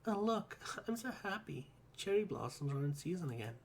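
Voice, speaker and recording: A man voicing a bubbly, cheerful schoolgirl who is a magical girl, speaking in a raised, excited, giggly voice. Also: normal speed, slight background noise. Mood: gushing. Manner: excited, giggly, high-pitched.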